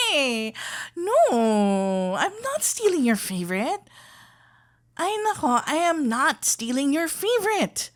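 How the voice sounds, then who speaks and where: A woman playing a shy, tender florist best friend in love, talking haltingly and awkwardly in an intimate ASMR roleplay. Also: high voice, full character voice. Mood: playful. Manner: halting, stammering, awkwardly earnest. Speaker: audio roleplay performer.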